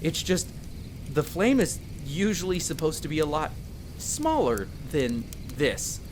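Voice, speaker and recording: A performer voicing a young male elf explorer in a roleplay audio drama, patiently and gently coaching the listener as a magic teacher. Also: medium pitch, very animated, a little slow, slight background noise. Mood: amazed, excited. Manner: gentle, reassuring, coaching step by step.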